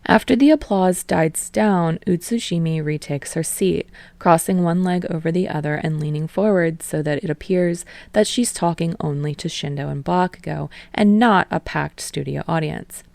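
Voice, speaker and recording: A woman reading fan fiction aloud as an audiobook narrator, in a steady storytelling manner. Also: low voice, normal speed, vocal fry, few pauses, dry recording. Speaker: podfic reader.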